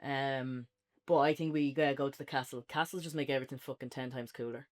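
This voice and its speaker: A man's voice: medium pitch, steady tone, fast talker. An Irish man speaking in casual, unscripted banter as a podcast co-host reviewing with a friend.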